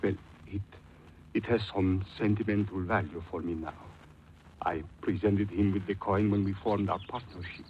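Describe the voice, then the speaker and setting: A man's voice: low voice, steady tone, moderate pace, natural voice. An actor playing a formal, hesitant businessman client, polite and evasive, in 1940s radio drama style. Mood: guarded, earnest. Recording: muffled 1940s radio, light noise.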